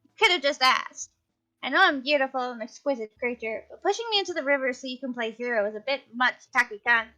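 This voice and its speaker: Woman voicing a high, coy fox-girl maiden. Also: expressive, fast, sharp tone, highs cut off, soft background music. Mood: haughty teasing. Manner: coy, theatrical old-fashioned phrasing.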